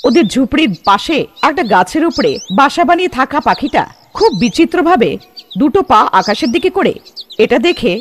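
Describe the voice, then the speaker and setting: A man's voice: extremely high pitch, sing-song, brisk, slight background noise. A man narrating a scripted cartoon story in a dramatic storytelling manner. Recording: long reverb, music underneath.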